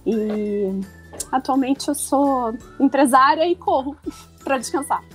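A woman's voice: high-pitched, slow pace. A woman in her forties talks in a Carioca Brazilian Portuguese accent as a podcast guest. She is chatty, laughs easily and restarts often. Faint music plays behind her. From Rio de Janeiro, Brazil. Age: 40s. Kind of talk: casual podcast conversation.

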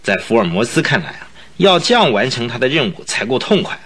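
Anxious gentleman, husky lively voice. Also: medium pitch, brisk. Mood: alarmed. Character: anxious, protective gentleman, the heroine's husband-to-be. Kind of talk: Mandarin radio drama acting.

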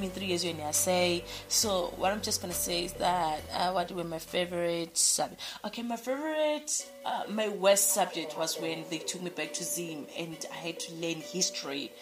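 A woman's voice: on the low side, poor audio. Bubbly rambling woman with music behind. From Zimbabwe, raised in South Africa. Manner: bubbly, rambling, many fillers and restarts. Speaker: radio personality, podcast guest. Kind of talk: casual interview answers.

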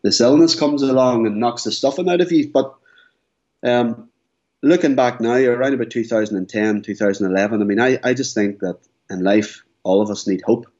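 A man's voice: medium pitch, moderate pace, darkish tone. Man, forties, earnest, stumbling repeats, dull audio. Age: 40s. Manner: earnest, repeats words as he speaks. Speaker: guest. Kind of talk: candid interview. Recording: dull lo-fi sound.

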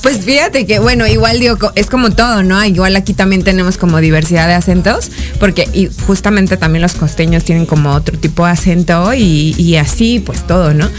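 A woman with a Mexican accent hosting a casual interview, chatty and quick to laugh. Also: fairly low pitch, faint music behind. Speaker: host.

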